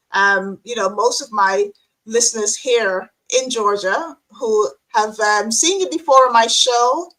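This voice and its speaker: A chatty woman host with a slightly high voice, talking easily with plenty of ums and restarts. She sounds like she is on a remote video call. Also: fairly bright tone, poor audio. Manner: chatty, many fillers and restarts. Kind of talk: casual interview chat.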